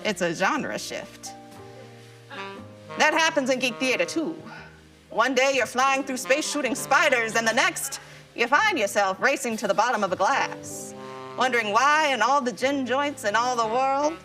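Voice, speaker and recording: Actress as a chatty geek woman, highish voice, slangy and explainy, faint music under it, audio drama. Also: expressive, a little slow, sharp tone, poor audio. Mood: playfully dramatic. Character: geeky, chatty modern woman explaining theater to Aristotle. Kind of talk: live stage audio drama acting.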